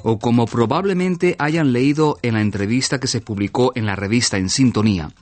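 A man's voice: medium pitch, a little fast. A man hosting a radio interview, prompting his guest with short questions and keeping track of time. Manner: brief backchannel, light and friendly prompting. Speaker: radio show host.

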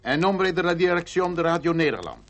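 A man with a Dutch accent, Spanish not his first language, giving a measured, ceremonious prepared speech as a radio program director. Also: a little high, big pitch swings, fairly bright tone.

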